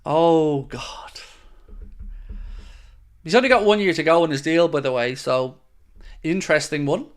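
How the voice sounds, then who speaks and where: A young man hosts a live stream and answers viewers one by one. His talk is casual and upbeat, and his voice sits a little on the high side for a man. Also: measured pace, pauses often. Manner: chatty and upbeat, reads questions aloud then answers. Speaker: host of a football fan channel.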